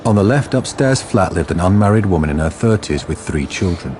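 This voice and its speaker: A man's voice: low voice, lively intonation, brisk, slight background noise. A man reading scripted narration for a radio drama. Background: loud dramatic music.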